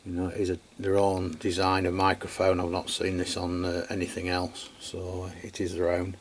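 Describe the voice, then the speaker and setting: A man's voice: low voice, completely flat delivery, normal speed. A man giving a casual, unscripted hands-on review of equipment in a matter-of-fact way. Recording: clear background noise.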